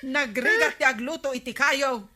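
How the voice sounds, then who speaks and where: An actress playing a gossipy, grumbling village housewife in a radio comedy drama, with an Ilocano accent and a drawling, complaining delivery. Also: female voice, high voice, normal speed, bright, forceful tone.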